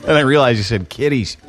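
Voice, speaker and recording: A man hosting a radio morning show, telling rambling joke stories with a deadpan delivery. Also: mid-range voice. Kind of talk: joking radio banter. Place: radio studio. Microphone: studio microphone.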